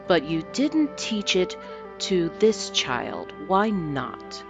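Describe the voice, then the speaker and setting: A woman voicing a cold, commanding, taunting fox demon spirit in a dramatic story reading, speaking deliberately and threateningly. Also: on the low side, very animated, music in the background, full character voice. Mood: stern interrogation.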